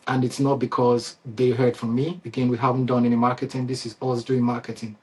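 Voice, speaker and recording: Husky man with a Nigerian accent. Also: mid-range voice, flat delivery, fairly quick. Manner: conversational, many fillers and restarts, chuckles often. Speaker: CEO and founder hosting a company Q&A. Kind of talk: unscripted casual address to customers.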